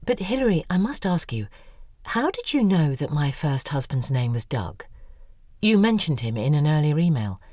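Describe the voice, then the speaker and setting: A suspicious, defensive woman writer in an audio drama. Her voice is deep and husky, swinging widely as she presses her points with exasperation. The sound is dry, with faint music underneath. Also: very animated, normal speed, mellow, no echo. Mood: wary, probing.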